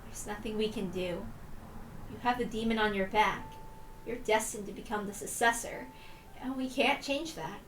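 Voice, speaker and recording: A woman's voice: mid-range voice, normal speed, slight background noise, natural voice. A woman voicing an anguished, defiant teenage girl in a dramatic, emphatic reading of a visual novel. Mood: resigned, sad. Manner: emphatic, emotional. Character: anguished, defiant teenage girl twin resisting her inner demon. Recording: roomy echo, distant mic, music behind.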